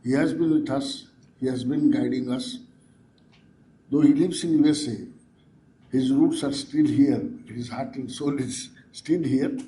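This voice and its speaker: A man's voice: mid-range voice, fairly even intonation, a little slow, warm tone, rough recording. A man acting as master of ceremonies, introducing a chief guest in an emphatic, ceremonious way. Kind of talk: formal stage announcement.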